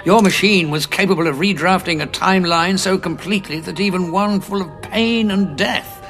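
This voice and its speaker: An actor playing a grim, weary time-travelling war veteran who speaks in a cold, measured, self-assured way. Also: male voice, high voice, fairly bright tone, faint music behind. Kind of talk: audio drama acting.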